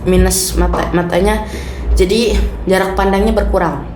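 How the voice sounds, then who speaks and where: A woman's voice: mid-range voice, steady tone, normal speed, background noise. A woman chatting casually as a participant in a radio talk show. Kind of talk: casual chat. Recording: echoey room, clear music behind.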